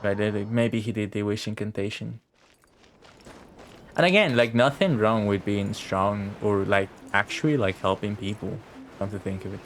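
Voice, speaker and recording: A man's voice: lowish voice, normal speed, a little hiss or hum. A man giving casual live game commentary as a streamer, rambling and thinking aloud.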